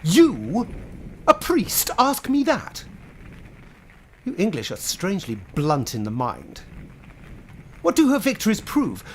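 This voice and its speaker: A man acting a proud medieval French bishop in a radio play. He speaks with righteous force and indignation, his rhetorical delivery swinging widely in pitch. The zeal is formal and theatrical. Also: huge pitch swings, slow pace, pauses often, slight background noise, full character voice. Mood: righteous, scornful. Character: proud, zealous French bishop of the Middle Ages. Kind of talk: stage-style radio drama acting.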